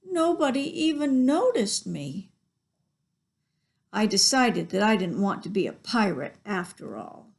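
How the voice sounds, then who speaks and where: A woman's voice: medium pitch, sing-song, a little slow, gravelly, darkish tone, husky. A woman reading a children's story expressively, with chatty asides to young listeners about the pictures. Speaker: library storytime reader. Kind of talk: picture-book read-aloud with chatty asides.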